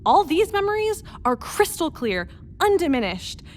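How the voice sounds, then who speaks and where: A woman acting an earnest, vulnerable astronaut captain in a radio drama, with halting, emotional delivery. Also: extremely high pitch, sing-song, slight background noise. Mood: earnest, tender.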